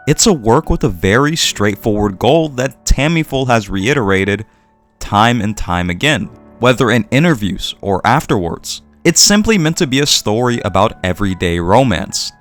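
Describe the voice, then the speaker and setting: A man with a fairly low voice delivers a scripted opinion essay for his channel. His phrasing is earnest and emphatic, and music plays behind him. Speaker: video essayist, channel host.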